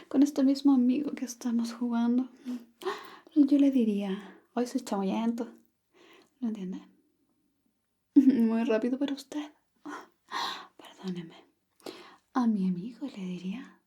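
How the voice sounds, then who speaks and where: A woman whispering softly and teasingly, quizzing the listener on Chilean slang in an ASMR roleplay. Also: on the high side, breathy edge. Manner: slow, soft, teasing like a teacher. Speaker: Spanish slang teacher.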